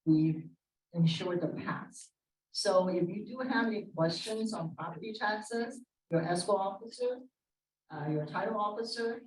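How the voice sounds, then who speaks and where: A woman's voice: mid-range voice, a little hiss or hum. A woman answering questions on a panel as a title officer, direct and emphatic. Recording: echoey room, distant mic.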